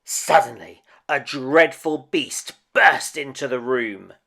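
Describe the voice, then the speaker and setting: A man reading a picture book aloud to children as an expressive, dramatic storyteller. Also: medium pitch, slow pace, gravelly, husky. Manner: dramatic, with emphatic swells and hushed drops.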